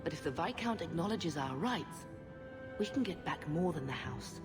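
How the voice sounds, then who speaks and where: A woman's voice: low voice, animated, moderate pace, music in the background. An actress playing a proud, careworn middle-aged mother of noble birth in a video game, dignified and insistent.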